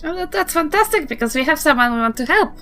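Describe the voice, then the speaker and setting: A woman's voice: high-pitched, expressive, fast talker, sharp tone, reverberant, faint music behind, voice pushed up. A woman with a New Zealand accent voicing a skeptical, blunt yet dithering old granny adventurer in a tabletop game. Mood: agreeable. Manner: dithering, blunt, politely dismissive.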